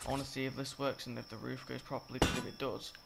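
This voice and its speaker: A croaky, slightly sick young man rambling through a game-building tutorial, often correcting himself. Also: medium pitch, flat delivery, fast talker. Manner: rambling, self-correcting, filler-heavy. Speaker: gaming tutorial creator. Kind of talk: casual gameplay tutorial commentary. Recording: loud game noise behind. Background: game sound effects and clicks.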